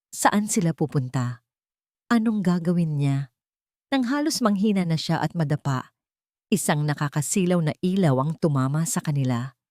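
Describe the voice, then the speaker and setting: Low-voiced woman narrating a dramatic story. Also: a little mellow, no echo, studio quality.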